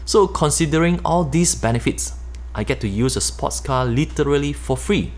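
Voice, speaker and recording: A middle-aged man with a Malaysian accent reading his own nonfiction book aloud in a steady, explanatory way. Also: fairly high pitch, moderate pace. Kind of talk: nonfiction audiobook narration.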